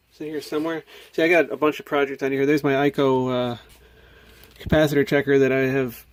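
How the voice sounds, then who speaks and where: An American man giving a casual show-and-tell, thinking aloud in a hesitant, puzzled way. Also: a little high. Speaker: hobbyist video maker. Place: indoors at a home workbench.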